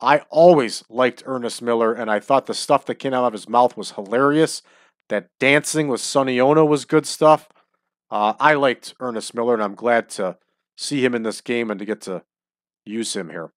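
A man's voice: mid-range voice, moderate pace, dry recording. A man talking through a wrestling booking game with chatty, opinionated asides. His voice sits in a middle range, and his nose sounds stuffy and runny from allergies. The sound is dry and close. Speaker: let's-play host. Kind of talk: casual gameplay commentary. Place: at home.

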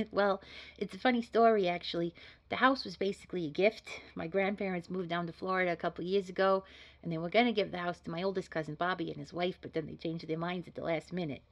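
A woman's voice: on the low side, fairly even intonation, fast talker, lo-fi sound, natural voice. A woman playing an earnest, modest job candidate in an audio drama, talking in a chatty, hesitant, polite way. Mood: chatty, sheepish. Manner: hesitant, rambling, informal, polite. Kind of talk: naturalistic scripted audio drama dialogue.

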